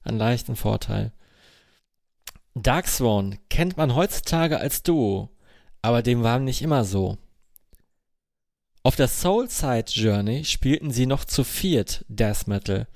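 A man's voice: medium pitch, expressive, slow pace, gravelly, pauses often, a little mellow, no echo, studio quality. A man hosting a casual podcast quiz, teasing a little and repeating himself for emphasis. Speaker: podcast host running a quiz. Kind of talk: casual quizmaster chat.

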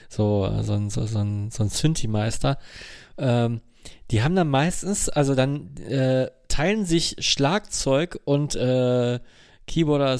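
A man in casual podcast chat, haltingly repeating words as he searches for phrasing. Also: medium pitch, a little mellow, dry recording, clean studio sound. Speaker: podcast co-host.

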